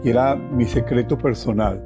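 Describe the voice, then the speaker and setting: A man with a Colombian accent recounts his own life in an expressive, reflective way, repeating phrases for emphasis. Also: mid-range voice, normal speed, over music. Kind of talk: personal oral storytelling.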